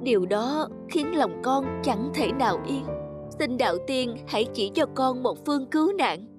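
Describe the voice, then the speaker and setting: An actor voicing a devoted, anguished young peasant son in an animated folk tale, earnest and pleading. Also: high-pitched, measured pace, over music.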